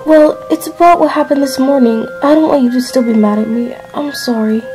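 Concerned mother, gentle and prying, lightly raised voice, faint music underneath; a woman voices her. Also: high voice, brisk, lightly acted, poor audio. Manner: soft, coaxing, questioning.

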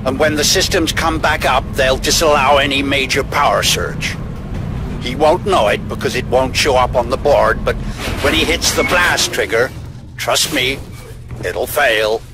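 An actor playing a commanding older male handler who briefs a soldier remotely in a deliberate, authoritative way in a video game. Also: mid-range voice, music in the background, close to an everyday voice. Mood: confident, instructive.

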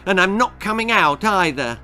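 A stubborn, cheeky little boy played by an older man in a storybook reading. He is defiant and taunting, pitched high with big swoops. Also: very animated, normal speed, bright, forceful tone, faint music behind, heavily acted.

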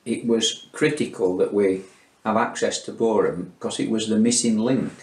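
Low-ish guy, matter-of-fact, roomy echo. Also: fairly even intonation, normal speed, a little mellow. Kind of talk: documentary interview.

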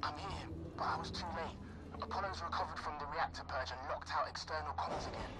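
A man's voice: a little high, a little fast, over loud music. An anxious male station marshal talks through a radio filter. He is earnest and urgent, explaining things to an ally in a tense sci-fi game. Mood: dejected. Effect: radio comms.

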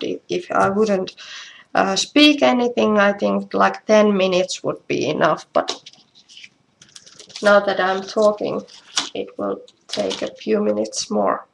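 A woman from Finland with a Finnish accent, giving a casual craft tutorial in halting, self-deprecating English with a creaky voice. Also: mid-range voice. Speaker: craft demonstrator. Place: indoors at home. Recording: noisy live stream with clicks.

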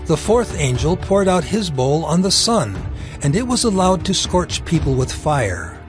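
Casting: male scripture narrator, solemn, somewhat high-pitched, faint music bed.

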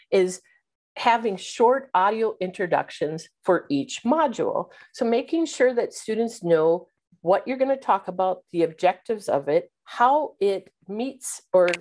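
A woman's voice: mid-range voice, darkish tone. A middle-aged woman on a video call, giving a keynote on teaching with an instructive manner, some ums and uhs, often checking in with "right?". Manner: instructive, fillers like um and uh, asks "right?". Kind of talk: webinar presentation. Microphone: video call webcam.

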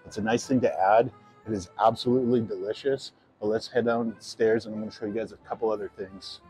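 A man's voice: on the low side, steady tone, fairly quick, a little mellow, faint music behind, poor audio. Chatty man on a lapel mic. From Canada. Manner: chatty, upbeat, talks to camera with fillers. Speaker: fitness vlogger. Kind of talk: casual walk-through vlog. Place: grocery store, indoors.

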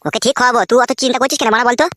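Irritable two-timing village husband, comedy cartoon. Raised thin pitch, rapid crude bluster, rural West Bengal accent. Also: male voice, steady tone, background noise. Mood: defensive, irritated. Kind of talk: comedy cartoon dub, quarrelling.

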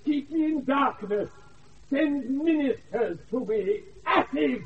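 A British actor playing an indignant, pompous steward imprisoned as mad in a radio Shakespeare play, pleading and declaiming. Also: male voice, very high-pitched, expressive, slow pace, noisy background, heavily acted. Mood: aggrieved pleading. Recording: tinny, distant off-air radio recording.

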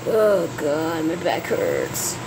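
A woman doing a deep, weary old man. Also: huge pitch swings, extremely slow, exaggerated voice. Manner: grumbling, weary. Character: tired, grumpy old man with aching back. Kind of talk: amateur cartoon voice-over. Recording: noisy home recording.

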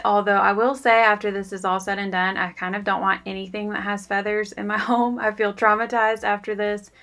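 A woman's voice: medium pitch, fairly bright tone. A woman, talking through her cleaning in a chatty, confiding voice-over. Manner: chatty, confiding, self-deprecating. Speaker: cleaning vlogger. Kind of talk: casual voice-over narration.

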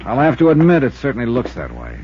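On a dull old radio recording, a tough American male investigator with a very animated, swooping delivery. He is dry, wry and clipped, in the hard-boiled style of fifties radio drama. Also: medium pitch, fast talker, vocal fry, slight background noise. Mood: brisk, firm. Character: tough, wry male insurance investigator narrating his own case. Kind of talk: 1950s radio detective drama acting and narration.

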